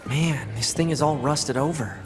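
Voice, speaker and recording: A man playing a young male android scout in a video game, skeptical and excitable, with informal, expressive speech. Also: mid-range voice, normal speed, over music, natural voice. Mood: skeptical, casual.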